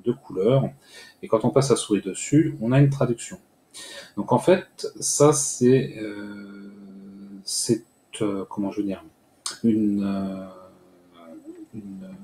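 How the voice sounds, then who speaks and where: A man walking viewers through a screen-recorded software tutorial step by step, pausing often with filler sounds. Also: mid-range voice. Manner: hesitant, many "euh" fillers, step-by-step explaining. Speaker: tutorial host. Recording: echoey room with faint noise.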